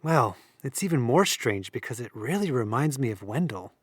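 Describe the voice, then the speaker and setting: A man's voice: mid-range voice, big pitch swings, moderate pace, breathy edge, no room sound, studio quality. An actor playing a frightened young man in an audio drama, urgent and prone to stammering and swearing. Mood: reflective. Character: frightened young man, shaken eyewitness, wary older friend. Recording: music bed under the voice.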